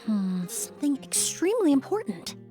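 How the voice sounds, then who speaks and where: Composed, higher-voiced young woman investigator. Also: very animated, normal speed, mellow, faint music behind. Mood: pondering. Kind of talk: video game character acting.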